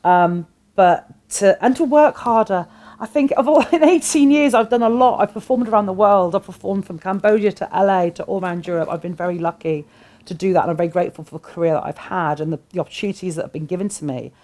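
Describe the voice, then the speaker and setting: A woman's voice: mid-range voice, fast talker, darkish tone. A middle-aged woman, a cabaret performer, talking frankly and animatedly as a guest in a small studio interview. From Britain. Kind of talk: candid interview chat. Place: small studio set, seated on sofa.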